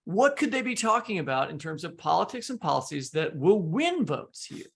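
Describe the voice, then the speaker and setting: A man's voice: sits high, very animated, moderate pace, vocal fry, breathy edge. An American man from the Midwest hosting a podcast, making emphatic points in conversational political discussion. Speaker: podcast host.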